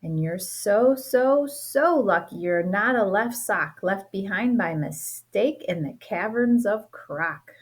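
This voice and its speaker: A teacher reading a rhyming children's book aloud, playful and expressive, with a sing-song lilt. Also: female voice, medium pitch, takes it slowly, hardly any pauses, husky. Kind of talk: storybook read-aloud.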